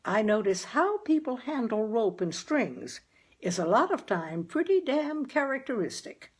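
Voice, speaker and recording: A folksy, practical New England mother from a storybook, acted by a woman reader. She is chatty and earnest, with a husky voice that swings up and down in lively fashion. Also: fairly high pitch, a little slow, full character voice. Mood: chatty self-justifying. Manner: folksy rural turns of phrase. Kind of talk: audiobook character dialogue.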